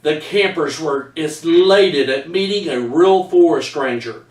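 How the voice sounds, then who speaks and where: A man's voice: on the high side, unhurried, recorded from a distance. A middle-aged man with a Texan accent reading his own story aloud in a folksy way, recorded by a camera across a room at home. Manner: folksy storyteller, says y'all. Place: home room with framed pictures, indoors. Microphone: far camera. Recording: echoey room, voice from afar.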